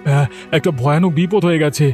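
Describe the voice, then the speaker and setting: A man's voice: fairly high pitch, steady tone, fast talker, a little mellow, lightly acted. Casting: male actor for earnest cartoon villager bearing grave news, urgent manner, music faintly behind. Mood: grave, worried. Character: concerned village friend bringing grave news. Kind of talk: Bengali cartoon voice acting.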